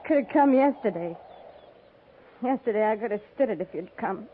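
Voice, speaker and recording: An actress playing a proud, weary, bereaved frontier wife with a bleak, guarded manner in a 1950s radio western. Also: female voice, medium pitch, animated, moderate pace, phone-quality sound, natural voice. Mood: bitter, grieving. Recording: thin, noisy 1950s radio recording.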